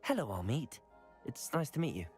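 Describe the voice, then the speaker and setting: A man playing a polite, curious teenage wizard student in a video game, with a measured, courteous delivery. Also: medium pitch, very animated, faint music behind, lightly acted. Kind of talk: video game cutscene acting.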